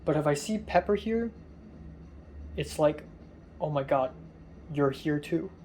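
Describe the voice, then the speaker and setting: A young man with a somewhat high, raspy, tired voice rambles casually to the camera, his words halting with frequent ums and drifting between soft and louder. He talks from a quiet hotel room, and a faint hiss of noise sits under the recording. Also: expressive. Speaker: vlogger. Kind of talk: casual rambling vlog monologue.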